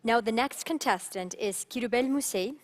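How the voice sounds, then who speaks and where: A woman's voice: on the high side, normal speed. A woman acting as host of a conference contest, giving instructions and introducing the speakers. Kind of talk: event announcements.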